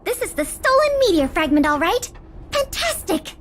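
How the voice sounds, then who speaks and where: A woman's voice: very high voice, big pitch swings, normal speed. A woman voicing an earnest young museum official who talks eagerly and politely. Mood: bright. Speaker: museum official in a game story. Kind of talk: scripted game dialogue. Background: game music.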